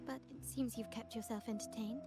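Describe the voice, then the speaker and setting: A woman voicing an elegant, composed young noblewoman in a video game, speaking in a measured, refined way over background music. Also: fairly high pitch, steady tone, lightly acted. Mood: poised, lightly teasing.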